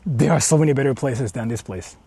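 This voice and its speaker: A young man, walking around outdoors and chatting casually with livestream viewers, often speaking in a hushed, murmuring voice. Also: medium pitch, fairly quick, gravelly, slightly rough. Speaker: vlogger streaming while exploring. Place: outdoors walking through an empty housing estate.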